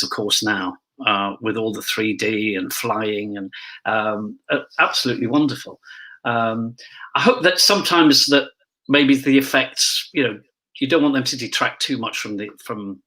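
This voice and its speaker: Bright-voiced man telling casual anecdotes. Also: mid-range voice, poor audio. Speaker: pantomime dame performer, interview guest.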